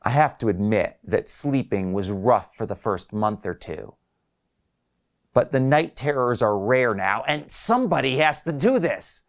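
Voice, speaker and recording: Slow-paced man narrating a story. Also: mid-range voice, big pitch swings. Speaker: author reading own story. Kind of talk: first-person scripted story narration.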